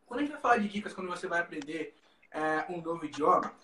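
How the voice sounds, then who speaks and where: A Brazilian man, a language teacher, joining a livestream by video call and talking in a friendly, relaxed way. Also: a little high, fast, echoey room, distant mic, slight background noise, poor audio. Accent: Brazilian Portuguese. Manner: friendly, relaxed, many "né" tags. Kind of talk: guest on livestream.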